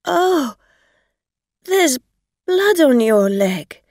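A woman's voice: medium pitch, big pitch swings, drawn-out words, creaky, a little mellow, no room sound, studio quality, full character voice. A woman voicing a tipsy, frightened woman driver in an audio story, hesitant, stammering and pleading. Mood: shocked. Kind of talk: acted dialogue in an audio story.

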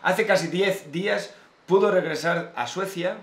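A man's voice: sits high, measured pace, a bit bright. Echoey-sounding Spanish man, casual host. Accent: Spain. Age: 30s. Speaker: prank show host. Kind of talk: casual banter. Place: small home office, indoors. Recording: echoey room, faint background noise.

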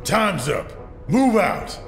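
A man's voice: high-pitched, very animated, slow, gravelly, fairly bright tone, roomy sound, faint music behind, exaggerated voice. An actor playing a commanding male crime boss in an audio drama.

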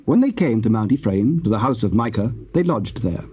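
A man narrating scripture as a measured, formal scripted reading. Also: on the low side, expressive, husky. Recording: dull old cassette audio.

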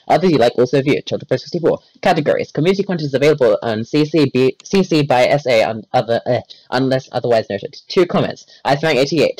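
Man rattling off a wiki page fast. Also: medium pitch, darkish tone, no echo, low-quality recording. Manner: stumbling over words and restarting. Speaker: voice chat participant reading a wiki page aloud. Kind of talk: rapid deadpan read-aloud in a voice chat.

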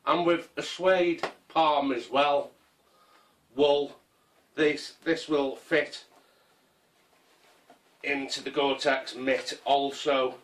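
A man in his fifties, casually showing his camping gear in a small conservatory, rambling and unhurried with many ums and restarts. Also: medium pitch, steady tone, fairly bright tone, far from the mic, a little hiss or hum. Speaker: survival gear vlogger. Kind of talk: casual show-and-tell. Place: small conservatory full of kit. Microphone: camera mic from a few feet away.

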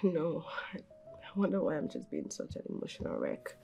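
A woman's voice: low voice, huge pitch swings, fairly quick, creaky, music in the background, exaggerated voice. A woman playing an emotional young employee who exclaims and stumbles over her words. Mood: tearful. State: crying. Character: young female employee, emotional and easily moved. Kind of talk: amateur skit acting.

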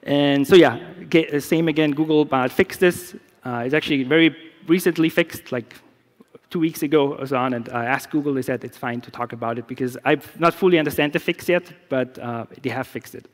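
A middle-aged man whose first language is German, giving a conference talk with a live demo in a relaxed, explanatory way with many restarts. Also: mid-range voice, a little fast. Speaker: security researcher. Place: large conference stage. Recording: long reverb of a big hall.

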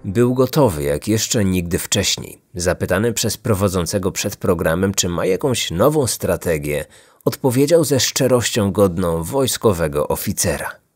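A man's voice: sits low, animated, moderate pace, no echo, clean studio sound. A man narrating a scripted true-crime story as a podcast host.

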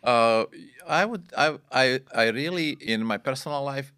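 A man in his sixties with a Bosnian accent, speaking earnestly and haltingly in non-native English as an interview guest. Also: mid-range voice, sharp tone, smooth, no echo, studio quality. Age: 60s. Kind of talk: reflective studio interview. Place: small wood-panelled podcast studio. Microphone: close boom-arm studio microphone.

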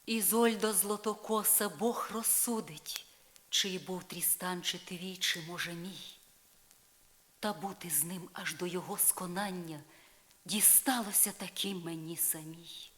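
An actress playing a proud, jealous, sorrowful spurned wife in a solemn verse drama, shifting from breathy hush to declamation. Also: female voice, fairly low pitch, fairly even intonation, measured pace. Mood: proud, mournful. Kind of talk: dramatic poetry reading, slow and solemn. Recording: vinyl record rip.

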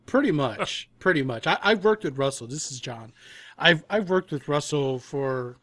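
A man's voice: on the high side, animated, normal speed, fairly bright tone. A man chatting casually as an interview guest, rambling a little and rephrasing as he goes. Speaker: podcast guest, composer. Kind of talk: casual chat.

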